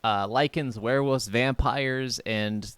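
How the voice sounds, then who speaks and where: A man's voice: medium pitch, steady tone, takes it slowly, a bit bright, clear, resonant tone, no room sound. A man co-hosting a gaming podcast, chatting casually in a rambling, slangy way with offhand swearing. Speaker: podcast co-host.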